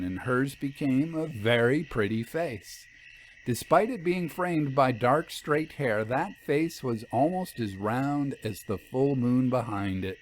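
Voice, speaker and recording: A man's voice: medium pitch, slight background noise, poor audio. A man reading scripted fiction aloud as the narrator.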